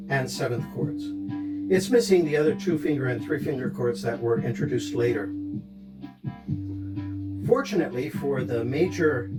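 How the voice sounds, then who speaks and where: A man's voice: mid-range voice, rough recording. Man explaining music gear step by step. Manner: step-by-step, plainly instructional. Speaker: instrument tutorial presenter. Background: electronic chord instrument being played.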